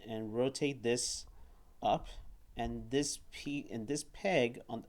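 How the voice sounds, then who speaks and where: A man reviewing and demonstrating a toy at a table indoors, talking haltingly and often repeating words and phrases. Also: mid-range voice.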